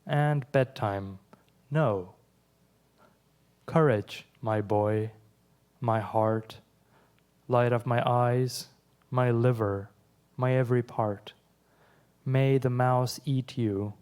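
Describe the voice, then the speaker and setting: A young adult man from Iran, whose first language is Persian, reads his poems aloud in a measured way, with quiet asides between them. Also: medium pitch, fairly even intonation, smooth. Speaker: poet. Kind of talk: live poetry reading.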